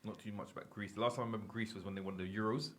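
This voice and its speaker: A man from England hosting a casual interview, curious and jokey, firing quick questions. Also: on the low side, steady tone, a bit bright. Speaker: host.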